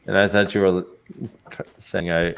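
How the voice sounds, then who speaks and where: A man's voice: sits low, flat delivery, unhurried, vocal fry, crowd noise. A man co-hosting a casual book-discussion podcast, joking around and laughing often. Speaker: podcast co-host.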